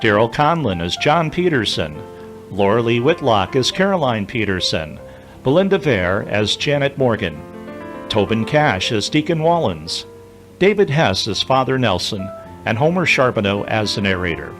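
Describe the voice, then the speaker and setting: A man's voice: mid-range voice, big pitch swings, moderate pace, a bit bright, soft background music. A man narrating an audio drama from a script in the third person.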